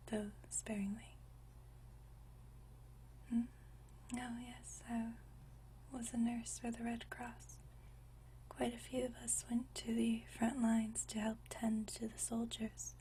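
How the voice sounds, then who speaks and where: A woman playing a gentle, nostalgic century-old vampire in a whispered ASMR roleplay, speaking softly and intimately with unhurried, genteel old-fashioned phrasing. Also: fairly high pitch, flat delivery, distant mic, a little hiss or hum, low-quality recording. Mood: reminiscing. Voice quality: soft breathy whisper.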